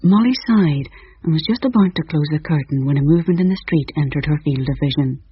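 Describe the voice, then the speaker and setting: A man's voice: on the high side, a little fast, slightly rough, no echo. A man narrating a radio drama with a wry storytelling manner, on dull, dry old audio. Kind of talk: scripted narration.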